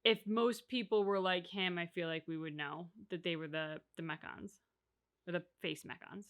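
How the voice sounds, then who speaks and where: A woman's voice: lowish voice, fairly even intonation, moderate pace. A woman co-hosting a reaction channel, giving her opinions in casual, analytical conversation.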